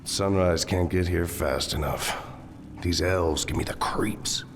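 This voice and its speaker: An actor playing a gruff, uneasy human soldier in a video game, with a gravelly voice in long reverb. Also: male voice, low voice, takes it slowly. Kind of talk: video game cutscene acting. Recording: long reverb, faint noise.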